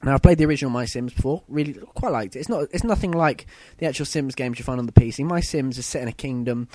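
A young man hosting a casual podcast, a bit husky from a sore throat, chatty and rambling. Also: mid-range voice, quick pace, warm tone, dry recording. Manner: chatty, loose and rambling, with fillers and self-corrections. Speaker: podcast host. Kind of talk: casual solo podcast chat.

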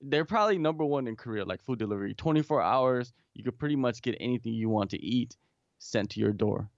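A man's voice: mid-range voice, expressive, fast talker, dry recording, dull audio. A man talking from home in a casual remote interview, rambling with many restarts and fillers. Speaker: guest, MMA interviewer and English teacher.

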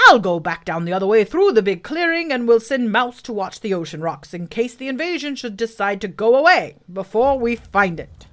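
A woman's voice: fairly high pitch, big pitch swings, moderate pace, hardly any pauses, a bit bright, voice pushed up. A woman voicing a suspicious, self-important wild boar in a storybook, speaking in an emphatic, officious way. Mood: commanding.

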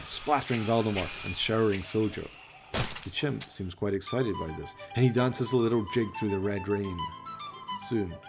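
A man narrating a scripted horror radio play in a theatrical, ominous, deliberate manner. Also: fairly low pitch.

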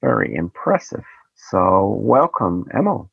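Podcast host, male, low gravelly voice, dull dry sound, wry and chatty. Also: sing-song, slow pace, no echo. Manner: chatty, digressive, wry asides. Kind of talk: casual interview chat.

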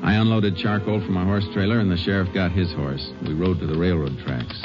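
A man's voice: low-pitched, fairly even intonation, brisk, over loud music, lightly acted. An actor as laconic lawman on muffled vintage radio. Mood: matter-of-fact. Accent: American. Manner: laconic, dry, courteous, folksy. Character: calm, methodical male Texas lawman investigating a murder. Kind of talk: old-time radio drama acting and first-person narration.

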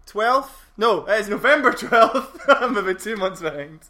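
A man's voice: high-pitched, animated, a little slow, pushed and bright. A man co-hosting a casual podcast, giggling often and rambling through fillers, recorded on a phone in a room with a small audience. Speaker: podcast co-host. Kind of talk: casual chat, live-streamed podcast.

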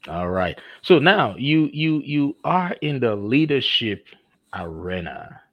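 A man's voice: mid-range voice, very animated, no echo, dull audio. A man from Nigeria with a Nigerian accent, hosting an interview in an effusive manner with many fillers. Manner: effusive, halting with frequent fillers. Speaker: podcast host. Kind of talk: conversational interview.

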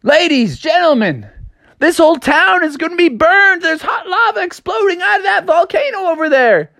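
A man voicing an excitable, anxious young frog cowboy in a squeaky, whiny way for a children's story. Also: unhurried, creaky, dry recording, full character voice. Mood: alarmed.

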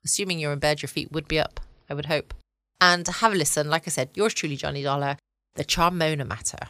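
Low-voiced English woman, dry close sound. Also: quick pace, a bit bright, dry recording. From United Kingdom. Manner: chatty, cosy, informal. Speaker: podcast host. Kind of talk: relaxed bedtime podcast chat. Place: at home, indoors.

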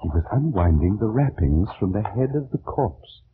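Earnest startled scholar in a 40s radio play, lively delivery, muffled and hissy. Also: male voice, on the low side, normal speed. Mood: awed narration. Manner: dramatic storytelling, precise, stammers when flustered. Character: earnest, startled gentleman student of Egyptology, narrating his adventure. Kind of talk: 1940s radio acting. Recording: muffled, noisy vintage radio recording.

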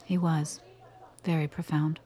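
A radio drama femme fatale, cool and self-assured. Her low, soft-edged voice is unhurried and cryptic, with a teasing allure. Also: level tone, breathy edge. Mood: distant, musing. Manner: unhurried, cryptic, at times distant. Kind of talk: radio play acting.

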